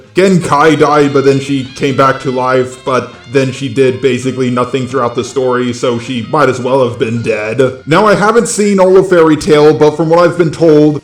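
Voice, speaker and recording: A man's voice: medium pitch, reverberant. A man narrating scripted, opinionated commentary on fiction in a sardonic, casually crude way, with a robotic effect on his voice. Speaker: video essay narrator.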